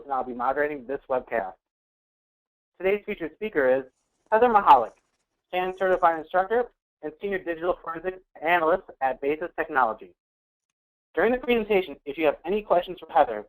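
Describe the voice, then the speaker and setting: A man opens a webcast as moderator, his voice a little higher than most men's. He reads a formal, announcer-like introduction with steady care. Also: poor audio. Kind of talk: scripted introduction.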